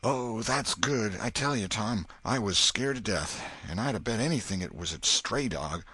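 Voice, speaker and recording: A man voicing a scared, superstitious young village boy who speaks in a rustic backwoods dialect, hushed and fearful. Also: fairly low pitch, expressive, normal speed, husky, no room sound, voice pushed up, exaggerated voice. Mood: frightened.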